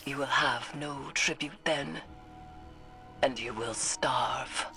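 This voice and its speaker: A woman playing a vengeful, resolute dark sorceress in a video game, speaking deliberately and ominously in formal phrases. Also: rumbling low, soft background music, heavily acted. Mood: menacing. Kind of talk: dramatic video game acting.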